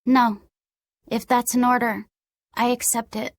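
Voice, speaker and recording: A woman voicing a meek, obedient little boy in a video game, soft and hesitant in manner. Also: a little high, flat delivery, a little slow, no echo, clean studio sound. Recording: music behind the voice.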